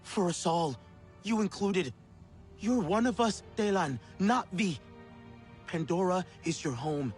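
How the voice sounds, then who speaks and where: An earnest young alien freedom fighter in a game cutscene. His voice sits fairly high and moves expressively as he urges others on, with faint music behind. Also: expressive, slow pace, long pauses. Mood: urging, resolute. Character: earnest young male Na'vi resistance fighter, urging. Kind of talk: video game cutscene acting.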